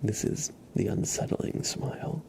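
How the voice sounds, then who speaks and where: A man speaking in a soft, breathy whisper, chatting casually with viewers as an ASMR livestream host. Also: measured pace, a little hiss or hum.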